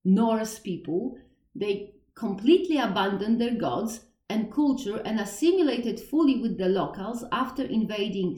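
A woman with a thick Polish accent gives an informal spoken lesson, thinking aloud and pausing to correct herself. She sounds set back from the microphone in a roomy, echoing space. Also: medium pitch, warm tone, distant mic. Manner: thinking aloud, self-deprecating, frequent laughs and restarts. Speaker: educator on Slavic history. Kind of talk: informal explanatory talk.

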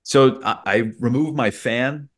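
A man's voice: medium pitch, measured pace. A man who works as a sports broadcaster, giving conversational sports analysis as a podcast guest.

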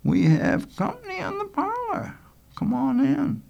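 Man doing a high, sweet young woman. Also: huge pitch swings, measured pace, creaky, a little mellow, highs cut off, exaggerated voice. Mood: gracious. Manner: polite, well-spoken. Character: sweet, gracious young woman, the town beauty.

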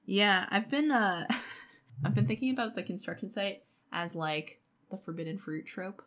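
A woman's voice: medium pitch, unhurried, fairly bright tone. A woman co-hosting a casual book discussion, analysing characters in an informal, conversational way peppered with "like". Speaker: podcast co-host.

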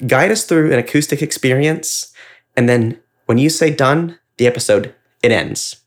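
A man's voice: mid-range voice, normal speed. A man co-hosting a podcast, talking in a casual, reflective way with effusive, wordy and earnest delivery. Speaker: podcast co-host and ad maker.

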